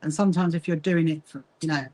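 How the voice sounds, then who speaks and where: A woman's voice: sits low, steady tone, fast talker, dark tone. Chatty English woman with a Kentish-Sussex lilt. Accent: Kentish mixed with Sussex. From south coast of England. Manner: chatty, greets viewers and answers their comments. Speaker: livestream host of a quilting association. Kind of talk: casual livestream chat while sewing.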